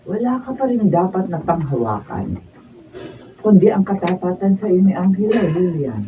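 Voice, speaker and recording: A woman's voice: on the low side, very animated, moderate pace, far from the mic. An actress playing a stern older mother or aunt in a Filipino radio drama, giving emphatic counsel. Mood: calm, consoling. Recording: dull off-mic sound, faint noise.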